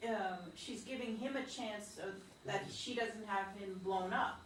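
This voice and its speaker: A woman's voice: mid-range voice, a little slow, a little hiss or hum. A woman in a group discussion after a play reading, thinking aloud and tentatively offering her view. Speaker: audience member giving feedback. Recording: echoey room, from far off.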